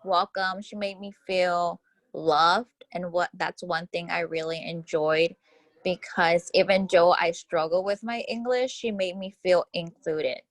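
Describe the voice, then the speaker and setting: A young woman from Houston, a Spanish speaker from childhood, answers questions earnestly and thoughtfully, sometimes restarting a phrase. Her voice sits a little low for a woman. The recording is dry and close, with no room sound. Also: steady tone, measured pace, no echo. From Houston, Texas. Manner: earnest, reflective, with small restarts. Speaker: interviewee. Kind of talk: casual interview answers.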